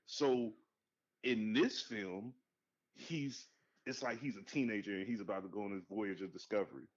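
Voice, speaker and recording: A man co-hosting a podcast in casual movie chat, animated and profane, jumping to high excited outbursts. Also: normal speed. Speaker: podcast co-host. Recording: dull lo-fi sound.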